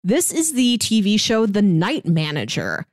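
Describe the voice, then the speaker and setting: A woman podcast co-host with a mid-pitched voice, recorded close and dry. She talks casually and chattily, pausing and hedging with little asides as she presents things to her co-host. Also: wildly swooping pitch, no room sound. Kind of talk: casual podcast chat, pitching films and reading synopses.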